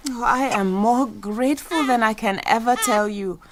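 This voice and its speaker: A woman's voice: fairly high pitch, normal speed, background noise, lightly acted. An actress playing a humble, devout widowed village mother in an audio drama, speaking with earnest gratitude. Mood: grateful, devout.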